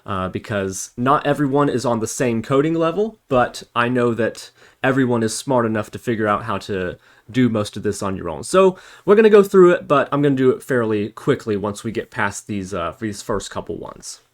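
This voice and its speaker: A man with a medium-pitched voice leads an informal programming tutorial. He talks through his work step by step, thinking aloud as an instructor does while demonstrating on screen. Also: sing-song, moderate pace. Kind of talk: informal screen-recorded coding tutorial narration.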